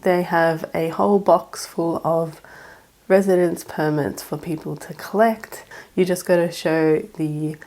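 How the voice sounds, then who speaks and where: A young Australian woman with a raspy voice from a cold, chatting through practical tips in a home vlog. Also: on the low side, dark tone. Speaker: vlogger. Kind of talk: casual advice vlog.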